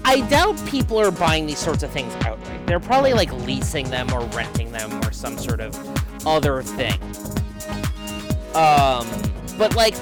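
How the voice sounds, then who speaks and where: Streamer reading aloud over electronic music. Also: medium pitch. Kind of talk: read-aloud narration with casual commentary. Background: electronic game music.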